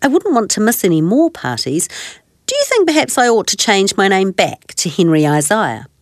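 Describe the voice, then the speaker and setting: A woman voicing a gentle, miserable childlike toy bear in a children's story, plaintive and hesitant. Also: medium pitch, huge pitch swings, normal speed, no room sound, clean studio sound. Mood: rueful, hopeful. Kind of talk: children's storybook character reading.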